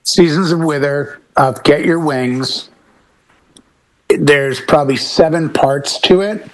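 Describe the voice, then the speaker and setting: A man's voice: fairly high pitch, slow pace, rough recording. A man from the Boston area talking casually as a DJ on a panel, slipping into anecdotes and short acted-out quotes. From Boston area, United States. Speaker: wedding DJ, panel member. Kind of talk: casual panel chat about music.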